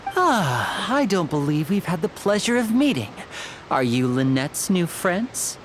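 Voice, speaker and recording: Suave, lively young man. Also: fairly low pitch, measured pace, slight background noise. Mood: courteous. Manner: smooth, polite, showman-like. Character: suave, courteous young man, protective brother. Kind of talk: video game voice acting.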